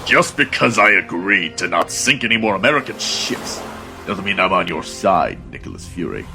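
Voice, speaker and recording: A man's voice: low-pitched, very animated, normal speed, vocal fry, over music, exaggerated voice. A man playing a proud, scornful undersea king in an audio drama, with a haughty, formal and threatening way of speaking. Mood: disdainful.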